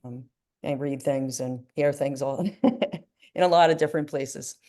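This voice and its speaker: A woman's voice: a bass voice, steady tone, unhurried, dark tone. A woman guest speaking as a grief coach, answering earnestly and reflectively, with frequent "you know"s. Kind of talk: interview answers.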